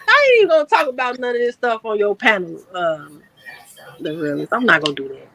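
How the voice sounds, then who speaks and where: Late-thirties woman from Chicago, relaxed and slangy, mid-pitched voice, dry close sound. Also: fast talker, no room sound. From Chicago, USA. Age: 30s. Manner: laid-back, slangy and candid. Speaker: panel guest. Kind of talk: casual panel chat.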